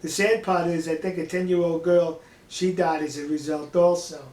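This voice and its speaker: A man's voice: a little high, little pitch movement, moderate pace, darkish tone, echoey room, a little hiss or hum. An older man giving casual monologue commentary to viewers in a folksy, emphatic manner with rhetorical questions. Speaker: online political commentator.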